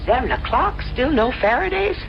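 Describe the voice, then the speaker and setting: Lively, folksy innkeeper's wife. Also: female voice, high voice, normal speed, vocal fry, heavily acted. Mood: teasing. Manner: chatty, folksy, bantering. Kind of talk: old-time radio mystery drama acting. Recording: muffled radio playback with truck noise.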